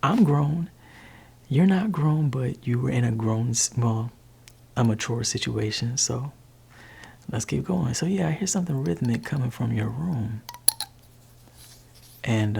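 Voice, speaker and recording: A man voicing a gentle, laid-back father in an ASMR roleplay, talking softly and whispering to his teenage child in a teasing way. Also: expressive, a little slow, gravelly, long pauses, husky, full character voice. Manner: soft-spoken, shifting between low voice and whisper. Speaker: ASMR creator.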